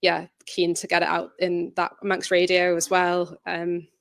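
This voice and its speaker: A woman with a lowish voice answers questions about her creative work in a conversational way. Her delivery stays very level and a little hesitant, qualifying thoughts as she goes. The sound is dry and close, with little room. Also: monotone, normal speed, creaky, fairly bright tone, no echo. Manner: hesitant, self-reflective, trailing qualifications. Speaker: audio drama writer and presenter. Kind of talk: informal presentation Q&A, conversational answers.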